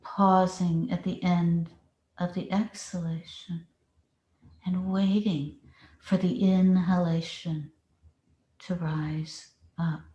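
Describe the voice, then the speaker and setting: A woman meditation teacher leading a guided meditation with deliberate phrasing and long pauses. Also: fairly low pitch, little pitch movement, dark tone, muffled recording. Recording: roomy, echoing space.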